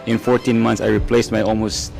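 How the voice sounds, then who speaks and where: A man's voice: lowish voice, level tone, a little fast, creaky. A man giving an earnest, emphatic testimonial about his online business. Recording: background music.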